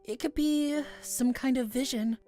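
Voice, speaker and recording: A woman voicing a shy, gentle, apologetic young man in a fantasy visual novel, with a hesitant manner. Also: high voice, measured pace, pitched above the performer's own voice. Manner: hesitant, soft-hearted. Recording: music playing behind the voice.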